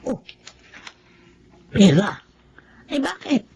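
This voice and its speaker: A woman's voice: medium pitch, very animated, very slow, darkish tone, a little hiss or hum, heavily acted. An actress playing a meek, anxious woman in her seventies in a Filipino audio drama, hesitant and stammering. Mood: hesitant, motherly. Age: 70s. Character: elderly Filipino mother and grandmother, flustered, anxious, meek.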